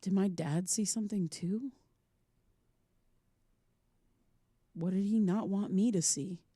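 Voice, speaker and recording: A woman's voice: medium pitch, normal speed, dark tone, husky, no room sound, clean studio sound, close to an everyday voice. An actress playing a troubled, haunted woman podcaster, earnest and hesitant, in a naturalistic audio drama. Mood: distressed, questioning.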